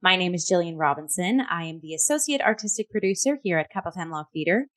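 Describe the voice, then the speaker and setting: A woman's voice: fairly low pitch, expressive, fast, fairly bright tone. A woman hosting a conversational arts review show in a chatty, upbeat and effusive way.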